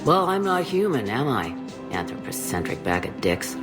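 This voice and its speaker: An actress voicing a blunt, sardonic older female alien matriarch in a video game, gruff and unpolished. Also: very deep voice, sing-song, music in the background. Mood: blunt, defensive. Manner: blunt, sardonic, hesitant pauses. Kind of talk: scripted game dialogue.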